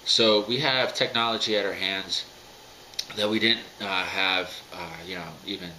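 Casting: man in his 30s, very low pitch, sharp edgy tone, stops and restarts often, a little under the weather. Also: steady tone, measured pace, slight background noise, low-quality recording. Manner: rambling, many fillers and restarts. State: recovering from a cold. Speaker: firearms vlogger. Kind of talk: casual talk to camera. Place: dim home room, indoors.

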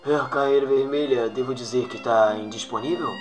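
A man's voice: mid-range voice, normal speed, lightly acted. A man acting a formal, anxious henchman who serves a villain ruler in a motion comic dub, music underneath. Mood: dutiful.

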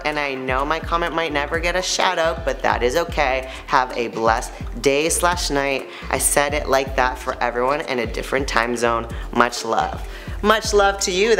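A young man with a high-pitched voice hosting an upbeat, scripted news recap in a studio, chatty and playful. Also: pushed and bright. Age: young adult. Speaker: host.

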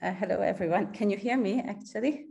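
A woman's voice: mid-range voice, brisk, gravelly, mellow. A woman from Hungary, asking a long, searching question on a video call, with many restarts and fillers. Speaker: audience member asking a question. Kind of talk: online seminar question.

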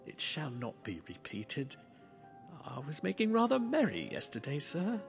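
A man acts out a timid Victorian clerk, stammering and apologetic. His pitch jumps about widely and echoes in a long hall reverb, as in a radio play. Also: huge pitch swings, measured pace, creaky, heavily acted. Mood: fearful, apologetic. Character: meek, nervous Victorian male clerk. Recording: long hall reverb, faint music behind.

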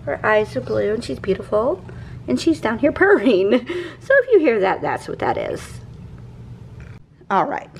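Woman in her 40s, chatty, rambling, lively high voice, home kitchen, camera mic. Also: unhurried, vocal fry, pauses often, slight background noise, poor audio. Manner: chatty, rambling, easily sidetracked. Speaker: vlogger. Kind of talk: casual grocery haul chat.

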